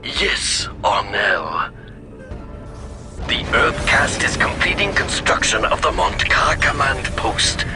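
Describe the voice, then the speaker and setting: Formal alien commander, expressive pitch. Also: male voice, slow pace, heavily acted. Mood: dutiful, confident. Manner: formal, crisp military briefing. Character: dutiful, serious alien Tau military commander. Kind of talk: video game cutscene acting. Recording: music behind the voice.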